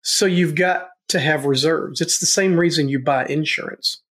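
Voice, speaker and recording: A middle-aged man with a Southern US accent answers interview questions in an earnest, halting way, often stopping and restarting his sentences. His voice sits a little higher than most men's. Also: fairly bright tone. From Tennessee, USA. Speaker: guest, real estate investor. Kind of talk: casual interview talk.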